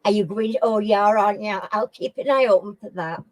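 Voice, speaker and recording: A middle-aged woman from Sheffield with a Sheffield accent, hosting a casual live chat with viewers in a slow, homely way and often clearing her throat. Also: mid-range voice, no echo. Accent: Sheffield English. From Sheffield, England. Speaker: live stream host.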